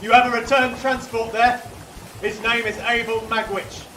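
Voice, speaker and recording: An actor playing a stern officer of the law in a radio play, calling out formal commands in a loud, declamatory way. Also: male voice, very high-pitched, flat delivery, normal speed. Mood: commanding. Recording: distant, echoing, noisy with water ambience.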